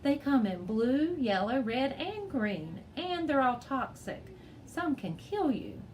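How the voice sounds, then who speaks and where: A woman's voice: sits high, sing-song, takes it slowly, a little mellow, a little hiss or hum, voice pushed up, heavily acted. Storybook girl who knows her wildlife, emphatic and factual, played by a woman reader. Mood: serious warning. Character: knowledgeable young girl, a wildlife expert.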